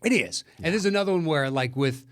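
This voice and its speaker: A man's voice: a little high, lively intonation, fairly quick. An American man co-hosting a casual film discussion, talking bluntly and swearing often. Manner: blunt, exasperated, frequently swearing. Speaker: podcast co-host.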